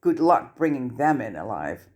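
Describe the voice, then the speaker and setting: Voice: mid-range voice, big pitch swings, moderate pace, a little mellow, close to an everyday voice. A reader voicing a wry, unbothered male chief of wizard law enforcement, dry and sardonic.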